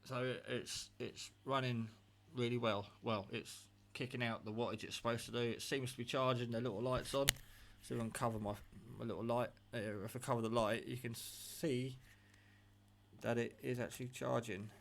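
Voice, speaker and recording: A man's voice: mid-range voice, steady tone, moderate pace, gravelly. A man casually narrating a hands-on gadget test, chatty and rambling, with a matter-of-fact, self-deprecating manner. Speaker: radio hobbyist demonstrating equipment. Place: indoors at a table at home. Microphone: handheld phone camera, operator off screen.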